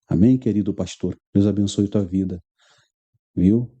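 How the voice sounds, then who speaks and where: A man's voice: fairly low pitch, measured pace, dark tone, breathy edge, highs cut off. A man with a Rio de Janeiro Brazilian accent, reading news aloud with conversational asides to his viewers as a religious commentary host.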